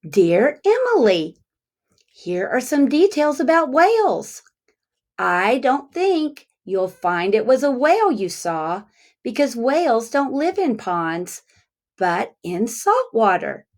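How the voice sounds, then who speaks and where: Female kindergarten teacher, read-aloud style. Very unhurried, lively swooping intonation, deliberate child-directed delivery with questions. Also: a little high. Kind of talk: interactive picture-book read-aloud with questions.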